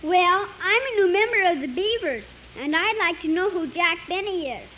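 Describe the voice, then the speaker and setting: A woman's voice: very high-pitched, expressive, moderate pace, muffled recording. A woman playing an eager, naive young boy in a 1950s radio comedy, with an earnest, childlike manner. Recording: noisy clicking old 1950s radio recording.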